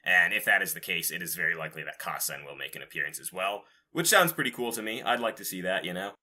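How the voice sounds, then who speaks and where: Bright, low-pitched man hosting a podcast. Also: animated, quick pace. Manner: informal, rambling, casually profane. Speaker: podcast host. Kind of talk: casual chat about video game news.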